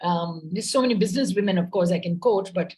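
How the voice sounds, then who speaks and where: A woman's voice: on the low side, fast talker, dark tone. A woman speaking thoughtfully as a panelist on a talk show, with many fillers.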